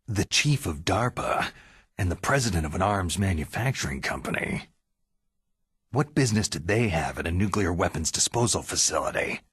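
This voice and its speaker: A deep, heavily rasping special forces veteran in a game cutscene. He speaks tersely with dry sarcasm, recorded close and dry. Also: lively intonation, moderate pace, a bit bright, no room sound, heavily acted. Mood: grim, probing. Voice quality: gravelly, husky. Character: gruff, sardonic veteran male special forces soldier. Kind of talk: video game cutscene acting.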